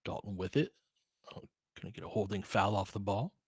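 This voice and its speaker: A man's voice: fairly low pitch, brisk, husky, no room sound. Man calling basketball, casual and dry-sounding. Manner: informal, conversational, clipped phrases on plays. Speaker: host doing live play-by-play for fans. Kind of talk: casual basketball commentary mixed with chat replies.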